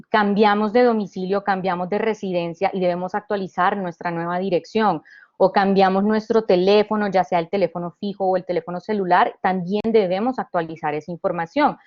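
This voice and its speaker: A woman from Honduras giving a panel presentation. She speaks at a mid pitch and works through her points one by one, often restarting phrases and filling pauses with "eh". The sound is slightly dull and muffled. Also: a little mellow. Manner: explains step by step, with frequent restarts and "eh". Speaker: panelist presenting a government digital service. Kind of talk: explanatory presentation.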